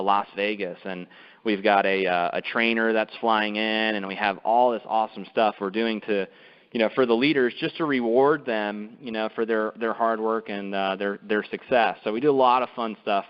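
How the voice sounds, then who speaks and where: A man giving an informal, persuasive sales pitch on a conference call, conversational and peppered with "you know.". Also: clear, resonant tone. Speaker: company executive presenting. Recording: dull, reverberant conference-call audio.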